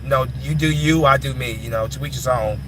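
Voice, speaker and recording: A man's voice: mid-range voice, fairly quick, a bit bright, slight background noise. A man in his twenties answering chat questions in casual talk, often repeating and restarting his words. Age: 20s. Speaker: live stream host answering chat.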